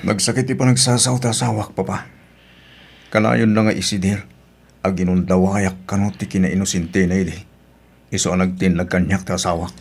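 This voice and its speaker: Man playing a low-voiced hurt husband. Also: steady tone, normal speed, vocal fry. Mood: resigned. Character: hurt, resentful husband and father. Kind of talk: Ilocano radio drama acting.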